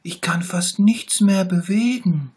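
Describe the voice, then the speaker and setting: A man voicing a little sick male tiger cub who whimpers and makes demands in a high, strained voice, in a storybook reading. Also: takes it slowly, darkish tone, husky, exaggerated voice. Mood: whiny, sickly.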